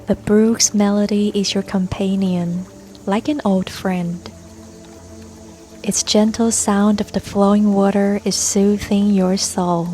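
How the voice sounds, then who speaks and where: Slow, soothing woman guiding meditation. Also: mid-range voice, flat delivery. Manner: gentle, soothing, unhurried. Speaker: meditation guide. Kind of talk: scripted guided meditation. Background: soft music and babbling brook sounds.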